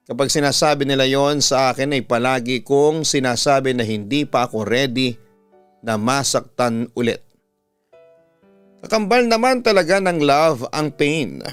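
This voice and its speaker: A Filipino man, a radio announcer, narrating a listener's letter as a scripted story in a smooth, clear voice. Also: medium pitch, dry recording. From Philippines. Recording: music behind the voice. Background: background music.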